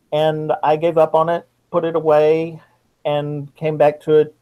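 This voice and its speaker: A middle-aged man answering interview questions as a guest author, in a measured, reflective manner. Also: fairly high pitch, warm tone, low-quality recording. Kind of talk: interview answers about writing.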